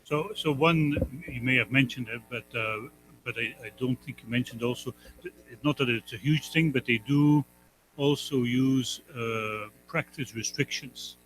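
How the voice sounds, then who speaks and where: A man's voice: mid-range voice, measured pace, a bit bright, low-quality recording. A man joining an informal academic conference discussion, speaking tentatively and qualifying himself as he goes.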